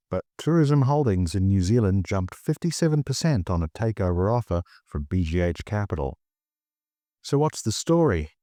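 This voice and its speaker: A man hosting a financial news podcast, reading a script in a measured, polished newsreader delivery. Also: lowish voice, dark tone, no echo, clean studio sound.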